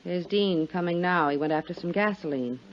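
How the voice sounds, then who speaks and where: A woman acting as a young femme fatale in an old radio crime serial. Her voice is on the low side, with pointed, dramatic phrasing, on a dull and faintly noisy vintage recording. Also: moderate pace, lightly acted. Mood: threatening. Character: scheming young femme fatale, cool and manipulative.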